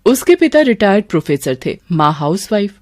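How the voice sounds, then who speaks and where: A woman's voice: medium pitch, very animated, normal speed, a little mellow, no room sound. Woman narrating a story, dry sound.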